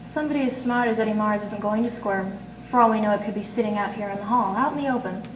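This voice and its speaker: Actress as pert, slangy noir sidekick, 1940s radio style, brisk delivery, distant pickup with faint noise. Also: female voice, mid-range voice, echoey room, natural voice. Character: sassy, quick-witted noir sidekick woman. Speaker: amateur stage radio-drama actress. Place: live performance in an art gallery.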